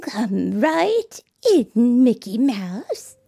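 A woman's voice: fairly high pitch, big pitch swings, very slow, creaky, dark tone, husky, voice pushed up, full character voice. A woman voicing a kindly, hospitable old woman from a Christmas storybook, welcoming and motherly. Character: kindly, hospitable old Mrs. Santa Claus.

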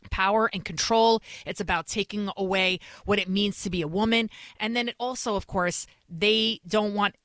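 A woman's voice: medium pitch, a bit bright, no room sound. Bronx-accented female talk host, emphatic and repetitive delivery, dry close sound. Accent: New York (Bronx). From New York City. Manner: emphatic, repeats phrases for effect. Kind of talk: talk radio commentary.

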